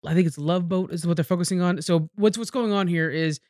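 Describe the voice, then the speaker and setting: A man's voice: sits high, brisk, darkish tone, dry recording, studio quality. A man co-hosting a podcast, chatting casually about television in a recap style. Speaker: podcast co-host.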